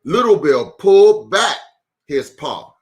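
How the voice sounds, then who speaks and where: Slow, playful male children's storyteller. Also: high-pitched, sing-song. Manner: encouraging, playful, deliberate with drawn-out phrases. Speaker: children's storytime host, pastor. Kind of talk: animated picture-book reading and chat for young children.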